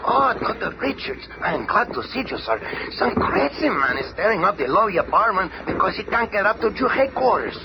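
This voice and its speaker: A man's voice: high voice, big pitch swings, brisk, hardly any pauses. An actor playing a deferential, agitated doorman in a 1970s radio drama. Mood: panicked. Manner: anxious, agitated. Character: flustered, deferential doorman in a panic. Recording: noisy old radio show recording.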